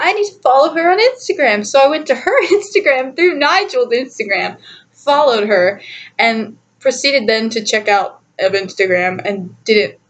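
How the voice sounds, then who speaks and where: A young adult woman telling a personal story in a casual, chatty, animated way, giggly and often interrupting herself. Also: on the high side, highs cut off. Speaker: storytime vlogger.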